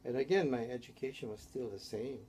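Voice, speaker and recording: A man's voice: mid-range voice, fairly quick, mellow, slight background noise. An older man from Hawaii, telling his life story in an oral history interview, rambling and tagging his sentences with "yeah?". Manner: rambling, tags statements with "yeah?".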